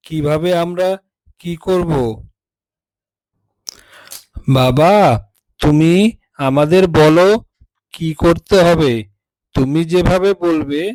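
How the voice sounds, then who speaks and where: A man's voice: fairly high pitch, a little mellow, no room sound, low-quality recording. A man voicing a woodcutter's eager, obedient young son in a cartoon fairy tale, speaking earnestly.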